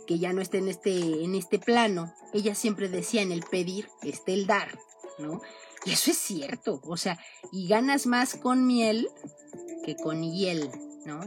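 A woman hosting a podcast, talking casually and tagging most sentences with a questioning "no?". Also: on the low side. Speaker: podcast host. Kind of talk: casual monologue. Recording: music playing under the voice.

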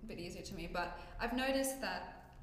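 A woman's voice: mid-range voice, brisk, a little hiss or hum. A young woman in casual chat, explaining step by step with many ums and restarts; her first language is Greek. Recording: echoey room with long reverb.

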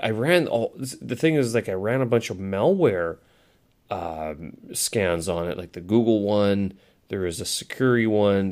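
A man's voice: lowish voice, a little mellow. A man with a stuffy, nasal voice from a cold, talking casually and rambling on his solo audio blog, with many fillers and self-corrections.

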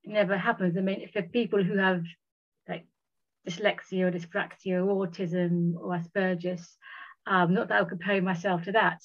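A woman who stammers, talking haltingly in a casual conversation with repeated words. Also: mid-range voice. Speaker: guest sharing experience. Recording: dull lo-fi recording.

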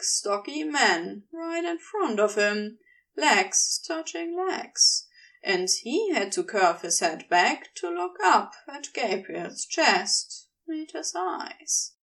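A woman reading fiction aloud as an expressive narrator, with a wry, conversational way of telling the story. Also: sits high, recorded from a distance. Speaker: audio fiction reader.

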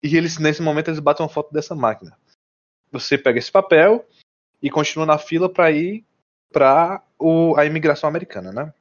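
A man's voice: fairly high pitch, a little fast, lo-fi sound. Rambling young brazilian-sounding man. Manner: rambling, many restarts and slang. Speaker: guest telling about living abroad. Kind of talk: casual chat.

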